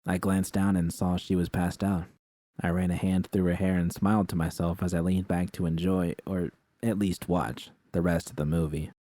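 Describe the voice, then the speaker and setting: A man's voice: low voice, little pitch movement, moderate pace, dark tone, dry recording. A man reading scripted first-person fiction as an audiobook narrator.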